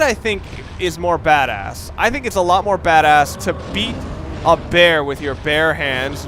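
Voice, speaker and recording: Bright-voiced young man rambling over gameplay. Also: on the high side, slight background noise. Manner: rambling, opinionated, swears casually. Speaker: let's play commentator. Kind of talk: casual gameplay commentary.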